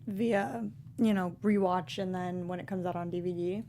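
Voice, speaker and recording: A woman's voice: lowish voice, steady tone, moderate pace, a crowd in the background. A young American woman giving casual opinions as co-host of a movie review show, close on a studio microphone. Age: young adult. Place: small indoor studio. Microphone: close studio microphone with foam windscreen.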